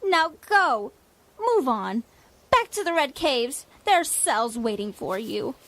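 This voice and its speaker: A woman's voice: sits high, big pitch swings, fairly bright tone, heavily acted. A woman voicing a domineering, mocking female leader of a savage tribe in a comedic fantasy audio drama. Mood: haughty, commanding. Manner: mocking, imperious, drawn-out delivery.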